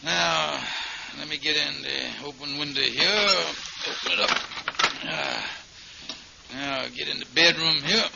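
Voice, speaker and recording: High-pitched blustering schemer, vintage radio. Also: male voice, unhurried, creaky. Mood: grumbling. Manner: stammering, mangles big words. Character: henpecked middle-aged schemer, blustering and wheedling. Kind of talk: 1940s-50s radio sitcom acting. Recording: muffled, very noisy vintage radio recording.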